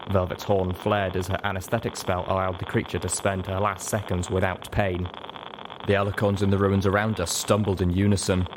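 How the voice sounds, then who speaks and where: A man's voice: low voice, noisy background. A man narrating a story in a dramatic audiobook style, as its reader.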